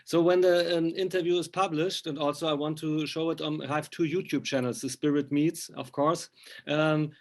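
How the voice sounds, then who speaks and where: Male interviewer, middle-aged, German accent. Slightly high voice, hesitant and wordy, informal. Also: steady tone, a little mellow. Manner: halting, wordy non-native phrasing. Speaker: interviewer, magazine publisher.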